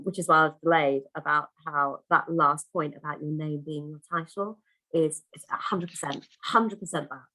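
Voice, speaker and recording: A woman's voice: deep voice, a bit bright, poor audio. A woman hosting an online conference over a video call, chatty and effusive, full of restarts and fillers. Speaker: conference host.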